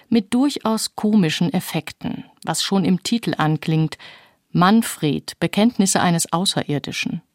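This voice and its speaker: A woman presenting a literary radio programme in an articulate, measured, scripted way, close to a studio microphone. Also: fairly low pitch, darkish tone, no echo. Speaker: radio host and interviewer.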